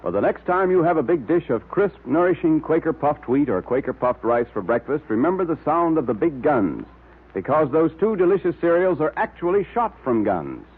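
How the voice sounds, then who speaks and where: A man announcing in vintage radio style, emphatic and salesmanlike. His delivery is very animated, with a rough, husky edge. The recording is tinny and band-limited. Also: a little high, moderate pace. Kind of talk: 1940s radio advertising and scripted narration.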